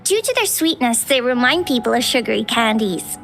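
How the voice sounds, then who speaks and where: A kindly young flower girl from an anime-style game, gentle and soft-spoken. She explains things thoughtfully, with music in the background. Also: on the high side, animated, brisk, vocal fry.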